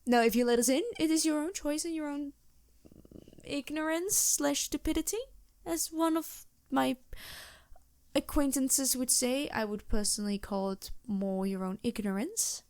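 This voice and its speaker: A woman voicing a polite, anxious and gentle vampire with formal, earnest phrasing in a fantasy audio roleplay. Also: high voice, measured pace, voice pushed up. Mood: cautioning. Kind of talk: fantasy ASMR roleplay acting.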